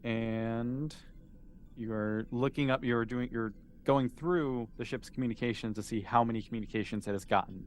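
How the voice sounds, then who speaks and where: Guy game mastering a tabletop rpg, casual, normal pitch, bit of room noise. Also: steady tone, moderate pace.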